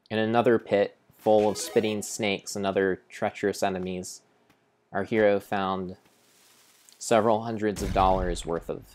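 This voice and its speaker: A young man improvising a mock-grand, deliberate and halting dramatic narration of a video game. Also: lowish voice, darkish tone, slight background noise. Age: young adult. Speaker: game streamer. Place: indoor room at home.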